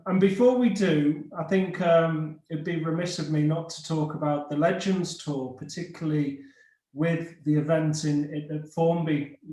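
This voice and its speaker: A man's voice: a little high, darkish tone. A man hosting an interview over a video call, easygoing with frequent fillers. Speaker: host. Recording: roomy video call audio.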